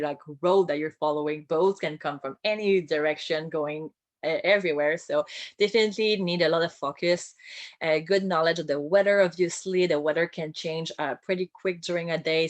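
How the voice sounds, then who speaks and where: A woman with a French accent, a boat captain giving an informal career talk. She speaks in a friendly way, with light laughs and many filler pauses. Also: sits low, dry recording. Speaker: boat captain and whale-watching skipper.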